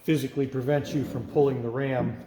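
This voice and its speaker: A man giving an informal, hands-on explanation of machinery in a halting, methodical way, as host of a workshop video. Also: mid-range voice, level tone. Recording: reverberant workshop with clear background noise.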